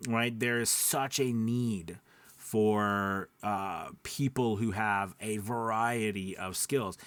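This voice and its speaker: A man's voice: mid-range voice, a bit bright, dry recording. A man hosting an instructional show, talking informally and conversationally, often checking in with listeners. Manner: conversational, peppered with checking tags like "right?". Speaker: host of a voiceover training show. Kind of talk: informal instructional talk to viewers.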